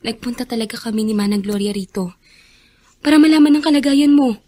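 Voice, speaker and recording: A woman's voice: a little high, brisk, dark tone, close to an everyday voice. An actress playing a kind, guilt-ridden young woman in a radio drama. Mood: gentle, concerned. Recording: music bed behind the voice.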